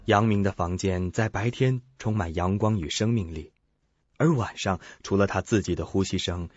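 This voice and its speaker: A man's voice: low-pitched, a little mellow, breathy edge, no room sound. A man narrating a scripted suspense radio drama in a dramatic, ominous storytelling manner. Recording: dull lo-fi recording with music bed.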